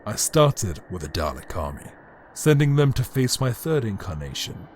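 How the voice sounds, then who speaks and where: A man playing a bitter, megalomaniac ancient emperor without a body, delivering a grandiose, theatrical monologue with dramatic pauses in an audio drama. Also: on the low side, very animated, darkish tone, a little hiss or hum. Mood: smug, scheming. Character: bitter, megalomaniac male emperor, ancient disembodied time-travelling being.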